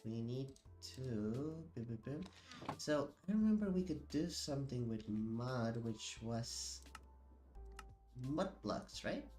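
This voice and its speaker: A sniffly man talks slowly and loosely into a desk mic while he plays, filling his sentences with "like" and starting over mid-thought. Faint game music sits low behind his voice. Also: lively intonation, a little mellow. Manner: rambling and chatty, many "like"s and self-corrections. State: sniffly from allergies. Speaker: streamer playing a video game. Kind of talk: casual live-stream chat while playing. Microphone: desk microphone.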